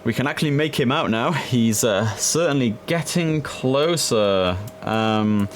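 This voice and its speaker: A young man chatting casually through a simulator game, relaxed and filling pauses with ums and uhs. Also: mid-range voice, a little hiss or hum. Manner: chatty, relaxed, with frequent fillers. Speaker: gaming streamer. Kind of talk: casual gameplay commentary.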